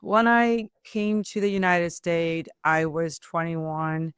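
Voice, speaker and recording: Clear-voiced woman with a Burmese accent. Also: on the low side, flat delivery, no room sound, clean studio sound. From Myanmar (Burma). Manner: non-native English with simple, halting phrasing. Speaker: restaurant owner and entrepreneur. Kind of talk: personal storytelling in an interview.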